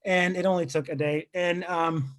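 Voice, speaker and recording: A man's voice: high voice, moderate pace. A man in his thirties, born in Lebanon, doing a self-deprecating stand-up set over a video call from a rented apartment. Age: 30s. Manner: deadpan, self-deprecating, chatty asides. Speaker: stand-up comedian.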